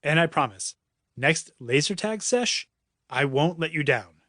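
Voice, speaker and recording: Man as earnest, rambling boy inventor, animated pitch. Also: a little slow, dry recording, studio quality. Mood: apologetic. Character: Elias, distracted, apologetic boy inventor tinkering with robots.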